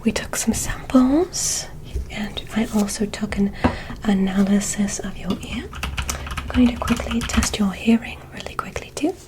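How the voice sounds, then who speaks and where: A woman playing a curious, clinical alien examiner in a soothing, methodical ASMR roleplay, whispering softly and deliberately. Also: mid-range voice, close to an everyday voice, poor audio. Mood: calm, curious. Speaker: ASMR performer.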